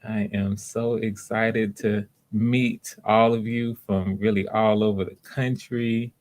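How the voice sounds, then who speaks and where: A man's voice: fairly low pitch, little pitch movement, takes it slowly, a little mellow. A man hosting live voice auditions, talking casually and encouragingly to the people taking part. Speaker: host running voice auditions. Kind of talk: casual livestream talk.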